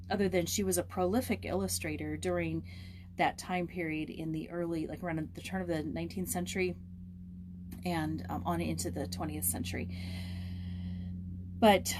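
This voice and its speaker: A woman's voice: fairly low pitch, noisy background. Woman reading a story aloud. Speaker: storybook reader and host. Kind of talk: read-aloud storytelling with casual asides.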